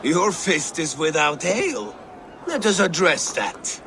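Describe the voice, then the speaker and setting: A man's voice: sits high, very animated, slow, bright, forceful tone, a faint crowd, slight background noise, full character voice. A man playing a jovial, teasing Viking who deals out drinking challenges in a video game cutscene. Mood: teasing, merry. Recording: music playing behind the voice.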